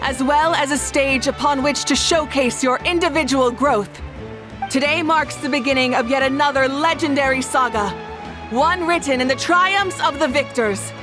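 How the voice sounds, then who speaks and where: A woman's voice: high voice, moderate pace, close to an everyday voice. A woman voicing a formal tournament announcer who speaks in a ceremonious, polite way, in game voice acting. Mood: grand. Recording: game audio with music.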